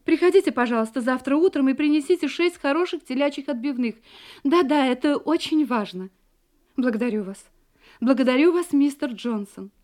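A woman's voice: sits high, brisk, a little mellow, close to an everyday voice. An actress in a Russian audio drama playing an anxious wife who hides her terror behind sweet domestic composure. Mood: urgent, controlled.

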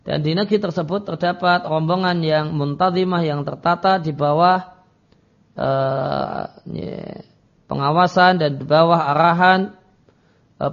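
A male Islamic teacher delivers a religious lecture in a slightly high, gravelly voice. He works through a text didactically, moving between Arabic phrases and their explanation. The audio is dull and lo-fi. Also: a bit bright. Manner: didactic, reads Arabic phrases then translates and explains them.

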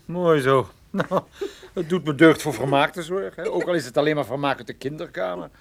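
A man acting as a wry, flirtatious private detective in a radio drama, conversational with small hesitant pauses. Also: on the high side, creaky. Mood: grumpy, dry.

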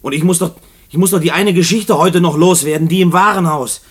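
An actor playing a restless male prisoner in a German radio play, brooding haltingly as if writing out letters. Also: high voice, husky. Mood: desperate pleading. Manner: halting, brooding monologue, spelling out words as he writes.

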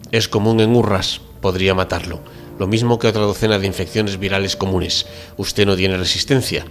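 A man acts out a deferential ship's doctor in a radio drama. He has a lowish voice and explains things with formal, fussy courtesy. There is faint background noise around him. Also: close to an everyday voice. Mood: clinical, cautioning. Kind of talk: amateur radio drama reading.

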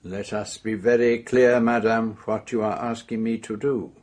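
An actor playing a shrewd, unbending detective in a radio drama, questioning suspects in a formal, deliberate way. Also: male voice, lowish voice, level tone, a little mellow, natural voice. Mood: stern, probing.

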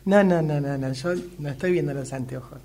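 A woman's voice: sits low, a little fast, dark tone. A middle-aged woman with an Argentine accent chatting casually face to face in a workshop exercise. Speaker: radio drama workshop participant. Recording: humming, noisy amateur recording.

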